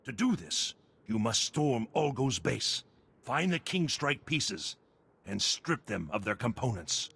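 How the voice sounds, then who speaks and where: An actor playing an urgent, secretive undercover agent in a video game, giving precise briefings in a gravelly voice. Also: male voice, mid-range voice, big pitch swings, takes it slowly, a bit bright, full character voice. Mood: earnest, approving. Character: male Republic intelligence agent undercover, urgent, secretive, earnest.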